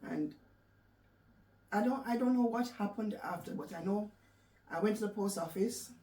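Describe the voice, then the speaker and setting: A woman from Jamaica with a Jamaican accent, recounting her life story in a soft, reflective way with halting restarts. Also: mid-range voice. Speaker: interview guest. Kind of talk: personal storytelling in an interview. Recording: echoey room, distant mic, faint background noise.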